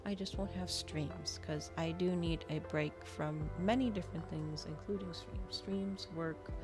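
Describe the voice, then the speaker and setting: A woman's voice: fairly low pitch, measured pace, music in the background. A woman livestreamer chatting casually to her viewers, rambling, trailing off and thinking aloud. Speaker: livestreamer hosting an ASMR and gaming channel.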